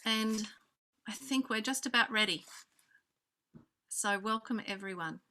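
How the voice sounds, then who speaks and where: An Australian woman hosting an online conference over a video call, giving introductions with frequent pauses and fillers. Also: medium pitch. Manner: warm hesitant introductions with many fillers. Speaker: conference host. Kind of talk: welcoming remarks on a video call.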